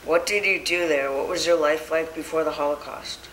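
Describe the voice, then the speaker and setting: A man's voice: a little high, fairly even intonation, brisk, fairly bright tone, reverberant, slight background noise, low-quality recording. A young male interviewer asking short prepared questions for a school project.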